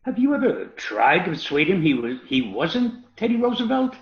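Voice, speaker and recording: A man's voice: high voice, very animated. An actor playing a prim, formal, earnest clergyman in a radio comedy. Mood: puzzled. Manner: stiff, formal, measured phrasing. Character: prim, proper, disapproving clergyman, polite and earnest. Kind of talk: radio comedy theatre acting. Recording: echoey room, slightly dull sound.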